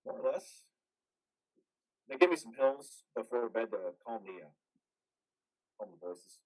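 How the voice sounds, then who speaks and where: An actor playing a traumatized, bitter and weary combat veteran in a modern stage play reading, with a husky voice. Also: male voice, mid-range voice, lively intonation, a little slow, darkish tone, rough recording. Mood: subdued.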